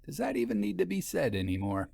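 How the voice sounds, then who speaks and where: Male author-host. Mid-range, animated, conversational scripted narration, dry studio-like sound. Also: on the low side, quick pace, gravelly, husky, no echo.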